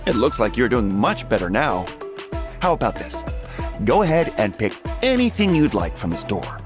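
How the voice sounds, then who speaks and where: A generous, jovial shopkeeper from a video game, acted by a man. His hearty delivery swings dramatically in pitch, with music clearly playing underneath. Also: sing-song, moderate pace, lightly acted. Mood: generous, kindly.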